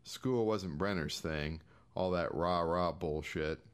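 A man's voice: low voice, slow, clear, resonant tone. An American man giving a scripted, audiobook-style narration of short fiction. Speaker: short story reader.

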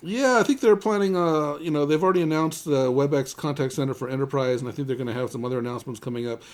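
A man hosting a conversational tech news podcast, often stumbling and repeating the starts of his words. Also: on the high side. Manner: halting, with repeated word starts. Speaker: podcast host.

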